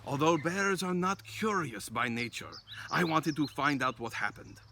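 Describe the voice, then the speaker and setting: A man narrating a children's story as an expressive storyteller. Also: a little high, normal speed, creaky, slight background noise.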